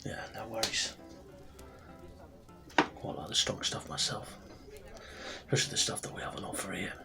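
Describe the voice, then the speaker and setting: A man playing a friendly, easygoing tavern barkeep in a soft-spoken, chatty fantasy ASMR roleplay. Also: on the low side, steady tone, normal speed, gravelly. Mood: welcoming, easygoing. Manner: informal, chatty, reassuring. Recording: soft background music and tavern ambience. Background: music, tavern ambience.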